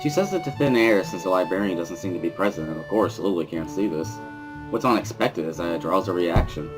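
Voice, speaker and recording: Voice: low voice, expressive, brisk, music in the background. A storyteller giving a dramatic reading of a first-person story as narrator.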